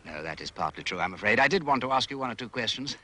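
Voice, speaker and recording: An actor playing an urbane, playful gentleman detective with an upper-class English accent, breezy and courteous in a British detective drama. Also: mid-range voice, animated, fast. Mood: probing.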